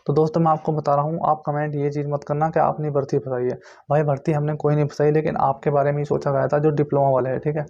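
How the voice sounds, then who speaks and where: A man talks straight to his viewers in an informal explainer style. His voice sits slightly high yet has a soft, dark tone. He hammers points home by repeating them, and the sound is somewhat dull and lo-fi. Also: flat delivery, fast, creaky, hardly any pauses. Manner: repetitive, insistent explaining with frequent check-in tags. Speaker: YouTube host giving exam recruitment updates. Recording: muffled lo-fi recording.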